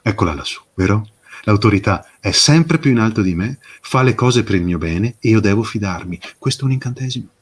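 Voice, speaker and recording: A man speaking as an interview guest, explaining with passion and emphasis, posing rhetorical questions and pausing for effect. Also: on the low side, expressive, husky. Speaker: interview guest, fairy tale expert. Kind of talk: impassioned explanatory interview talk.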